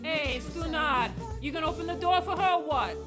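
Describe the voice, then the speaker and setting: Man doing a high, scolding neighbor woman. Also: normal speed, music in the background. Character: nosy, scolding New York neighbor woman.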